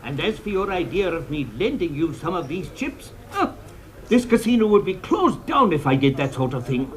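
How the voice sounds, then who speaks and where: A casino manager in a TV mystery, courteous but unbending. His voice sits high and swings widely as he turns down a favour with polished manners. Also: very animated, normal speed. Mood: firm. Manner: courteous, firm. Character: polite casino manager man refusing credit. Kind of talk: TV mystery drama clip.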